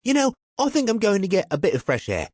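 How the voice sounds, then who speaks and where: A man's voice: sits high, wildly swooping pitch, fast talker, no room sound, clean studio sound, voice pushed up, exaggerated voice. A man voicing a tall, nervous crook with a Cockney accent and an anxious, chattering manner in an audiobook.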